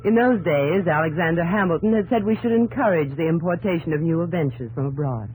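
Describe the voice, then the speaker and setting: An actress playing a gentle young New England woman who tells her story earnestly and with measured delivery in a 1950s radio drama. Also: on the low side, lively intonation, a little hiss or hum, close to an everyday voice, low-quality recording. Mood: earnest, wistful. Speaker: narrator and actress.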